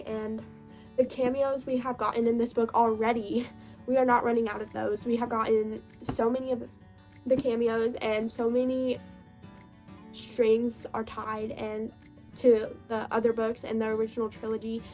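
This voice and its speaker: A young woman chatting casually about books, her voice hoarse, gravelly and stuffed up from a cold, gushing and laughing often. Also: a little high, level tone, normal speed, darkish tone, faint music behind. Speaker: book vlogger. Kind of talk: casual reading wrap-up chat.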